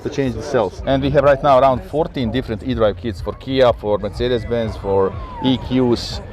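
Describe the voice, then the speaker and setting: A man's voice: mid-range voice, steady tone, normal speed, a little mellow. A man answering interview questions as an engineer, explaining earnestly in short fragments. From Croatia. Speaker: engineer, repair company guest. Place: outdoor event stand.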